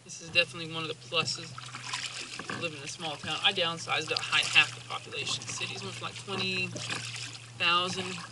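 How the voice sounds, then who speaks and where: A man's voice: a little high, flat delivery, a little fast, heavy background noise. A young man talking casually on a kayak outing, rambling with restarts and fillers, filmed outdoors on the water by a camera mounted on the boat. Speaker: kayaking vlogger. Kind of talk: casual outdoor vlog talk. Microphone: action camera mounted on the kayak.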